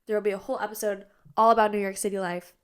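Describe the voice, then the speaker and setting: A woman's voice: mid-range voice, flat delivery, very fast, clean tone. A young woman in her twenties hosting a podcast from her bedroom, chatting casually, a bit nasal and congested, tired but bubbly, with lots of fillers. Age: 20s. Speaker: podcast host. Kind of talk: casual chat. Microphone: iPad on a tripod.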